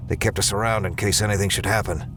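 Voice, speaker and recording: An actor playing a grim, weary, commanding space ranger in a sci-fi audio drama, with stern, measured delivery. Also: male voice, on the low side, fairly even intonation, noisy background, natural voice. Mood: gruff, guarded.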